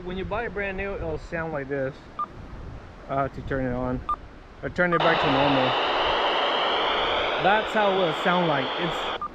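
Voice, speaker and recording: Casual male tutorial vlogger, higher register, stop-start and repetitive delivery, noisy open-air recording near water. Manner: halting, repeats himself, casual. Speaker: vlogger demonstrating handheld radios. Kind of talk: informal unscripted tutorial. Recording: noisy outdoor wind and water ambience.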